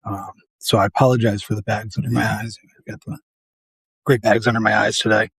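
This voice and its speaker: A man's voice: on the low side, level tone, a little fast, husky, dry recording. A man who works as a paramedic, talking casually and earnestly to camera, tired and losing his voice.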